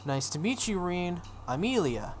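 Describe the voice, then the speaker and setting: A woman's voice: lowish voice, very animated, unhurried, a little hiss or hum, voice pushed up. A woman voicing a young girl villain who is playful, polite and singsong, with a childlike menace.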